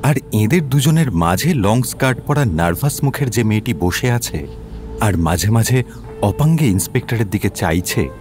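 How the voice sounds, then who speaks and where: A man's voice: on the low side, animated, normal speed, a little mellow, faint music behind. A man narrating a scripted detective story as its narrator.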